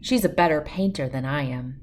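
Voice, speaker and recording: A woman's voice: sits low, normal speed, slight background noise, voice pushed down, natural voice. A woman voicing an admiring, frank male painter in a storybook, giving approving appraisals.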